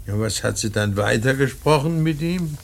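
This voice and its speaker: An actor playing a grieving, weary old father in a German radio play, speaking in a halting, deliberate and gently stern way. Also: mid-range voice, expressive, vocal fry, a little hiss or hum. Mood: grave, resigned.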